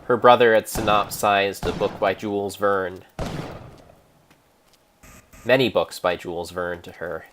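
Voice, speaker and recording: Slow, deep-voiced man telling a dramatic tale. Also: steady tone, fairly bright tone, slight background noise. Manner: theatrical, measured, pausing between phrases. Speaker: streamer, narrator. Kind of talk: improvised dramatic storytelling.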